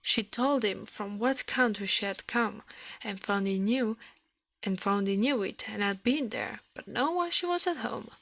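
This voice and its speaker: A woman reading a fairy tale aloud in a soft ASMR style, careful and a little halting, close to the microphone. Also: medium pitch, creaky, no room sound, low-quality recording.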